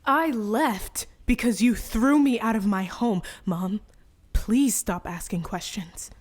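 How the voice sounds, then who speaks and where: A woman's voice: medium pitch, slow pace. A woman playing a guarded, hurting young daughter in a radio play. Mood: defensive. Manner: hesitant, evasive.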